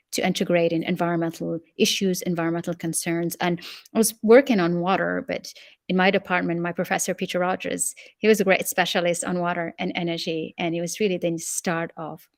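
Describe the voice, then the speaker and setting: A middle-aged woman from Morocco, a guest expert answering interview questions in a measured, explanatory way. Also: lowish voice, dry recording, clean studio sound.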